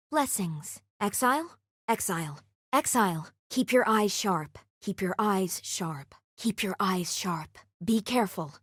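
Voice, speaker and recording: An actress playing a solemn, vengeful assassin, last of a secret order, in a video game, speaking in a measured, formal, grave way. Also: female voice, mid-range voice, dry recording, studio quality, close to an everyday voice. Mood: calm greeting. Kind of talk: scripted video game character dialogue.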